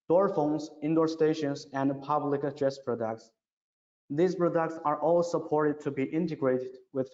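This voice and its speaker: A man presenting a scripted product webinar in a careful, halting way, now and then repeating words. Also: fairly high pitch, a little mellow. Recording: dull, echoey, reverberant online webinar audio.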